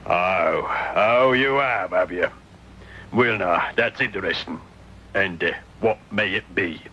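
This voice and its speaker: Police sergeant, gruff, forceful tone, low voice, lo-fi radio. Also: male voice, slow, a little hiss or hum, heavily acted. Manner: blustering, plain-spoken. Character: stolid London police sergeant, gruff and baffled. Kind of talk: radio drama acting. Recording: dull lo-fi old radio recording.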